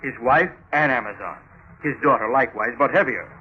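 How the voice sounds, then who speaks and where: A man's voice: medium pitch, brisk, lightly acted. An actor playing an earnest, grandiloquent policeman, formal and declamatory, in a 1950s radio drama. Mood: grave. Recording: tinny 1950s radio broadcast, faint hiss.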